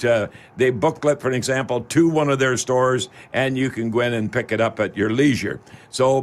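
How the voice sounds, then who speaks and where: A man hosting a radio show, talking casually and haltingly in a folksy way to listeners and a guest. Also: medium pitch. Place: radio studio.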